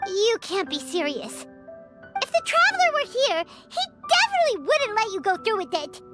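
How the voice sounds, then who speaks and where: A woman voicing a small, excitable female sprite companion in a video game, fretful and quick to protest. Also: very high voice, loud music behind the voice, heavily acted. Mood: pleading. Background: loud game score.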